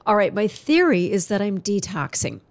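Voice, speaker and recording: A woman's voice: medium pitch, sing-song, normal speed, no echo. A woman hosting her own podcast, talking earnestly and chattily to listeners while noticeably congested. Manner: earnest, chatty, warm-hearted encouragement. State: congested, stuffy nose. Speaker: podcast host. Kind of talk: solo podcast monologue.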